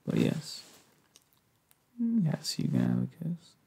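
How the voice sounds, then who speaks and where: A young man speaks softly and hesitantly in an intimate, breathy ASMR boyfriend roleplay, tender and low-key. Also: wildly swooping pitch, takes it slowly, heavy background noise. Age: young adult. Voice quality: soft, breathy, gravelly. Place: indoors, lying on a bed in a bedroom.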